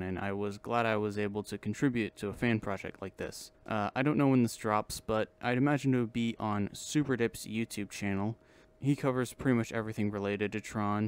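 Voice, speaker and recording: A man's voice: on the low side, clear, resonant tone. A man hosting a casual vlog, chatting in a self-deprecating way. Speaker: video host and amateur voice actor.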